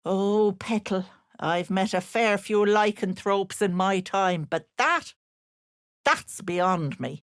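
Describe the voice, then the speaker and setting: A woman's voice: medium pitch, takes it slowly, dry recording, clean studio sound. A woman playing a sly old hag who poses as a sweet auntie in a video game, cooing pet names that slide into menace. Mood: sly, coaxing. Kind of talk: theatrical video game character acting.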